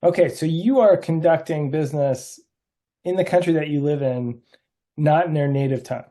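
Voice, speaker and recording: A man's voice: on the high side, moderate pace, mellow. A man hosting an interview over a video call, asking friendly, probing questions. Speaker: interviewer, host.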